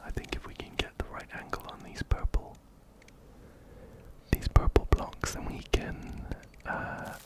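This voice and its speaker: Male ASMR game host, hushed whisper throughout, unhurried delivery, soft repeated phrases, close ear-to-ear miking. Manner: gentle, repeats phrases softly. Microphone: close binaural microphone, ear to ear.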